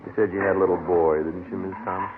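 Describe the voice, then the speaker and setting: Actor as a deep, quick, deadpan detective. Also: male voice, noisy background, close to an everyday voice. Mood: dogged, matter-of-fact. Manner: deadpan, clipped, matter-of-fact. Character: dogged, businesslike male police detective sergeant. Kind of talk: 1950s radio drama acting. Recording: tinny old radio recording, crowd chatter.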